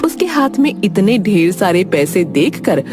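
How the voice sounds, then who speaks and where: A woman's voice: mid-range voice, big pitch swings, unhurried, music in the background. A woman narrator reading a scripted story in storytelling style.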